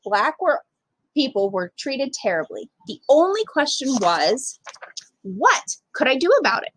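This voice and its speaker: A woman's voice: high voice, a little slow, slightly rough, no room sound, poor audio. A woman reading a children's picture book aloud as a storyteller, expressively acting out the dialogue. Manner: animated, acts out dialogue.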